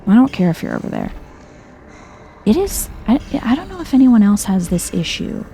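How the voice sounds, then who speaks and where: A soft-spoken woman giving chill, understated game commentary in an ASMR style, musing aloud as she plays. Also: fairly high pitch, sing-song, moderate pace, creaky, slight background noise. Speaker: video game streamer.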